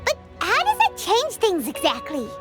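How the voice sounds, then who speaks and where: A woman voicing a tiny, excitable, childlike fairy companion in a video game, squeaky, bubbly and inquisitive. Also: moderate pace, exaggerated voice. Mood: eager. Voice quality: squeaky, shrill. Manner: bubbly, inquisitive, speaks of self by name. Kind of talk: English video game voice acting. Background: music.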